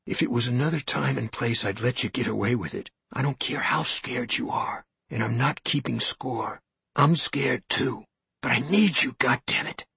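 A man reading as a determined, forceful man pleading and threatening. The voice is husky with a gravelly edge, close and dry. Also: on the high side, big pitch swings, measured pace, no echo, exaggerated voice. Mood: urgent. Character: determined, forceful grown man confronting a coward.

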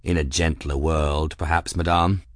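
A man voicing a composed, formal captain who speaks courteously and smoothly. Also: a bass voice, level tone, measured pace, no echo, clean studio sound, pitched below the performer's own voice. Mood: formal, guarded.

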